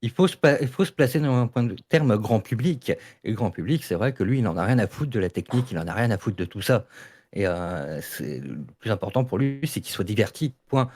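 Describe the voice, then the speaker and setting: Low-pitched man in an informal debate. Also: lively intonation, fairly quick, darkish tone, slightly rough. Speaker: audio fiction maker in a panel debate. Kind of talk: informal debate, casual discussion.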